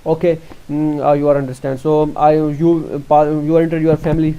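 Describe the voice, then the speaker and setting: Halting man with a Pakistani accent. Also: a little high, level tone, mellow, smooth, highs cut off. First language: Urdu. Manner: halting, with many fillers and restarts. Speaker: tutorial presenter. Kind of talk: screen-recorded walkthrough.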